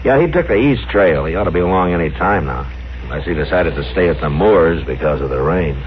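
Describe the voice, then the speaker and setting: A steady Old West marshal with a low, level voice and few words to spare. It is radio western acting on a tinny, clearly noisy old recording. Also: male voice, a little fast. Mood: calm, probing.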